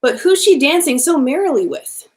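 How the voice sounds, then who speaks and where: A woman plays an old miser from a children's storybook. The old man speaks in a high, dramatic voice, full of exclamation and questioning. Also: animated, moderate pace, a little mellow. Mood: surprised.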